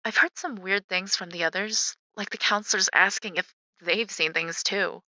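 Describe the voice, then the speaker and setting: A woman's voice: medium pitch, steady tone, normal speed, pushed and bright, dry recording, low-quality recording. An actress playing a supportive, chatty young woman, a protective best friend, in a naturalistic audio drama. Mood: uneasy, concerned.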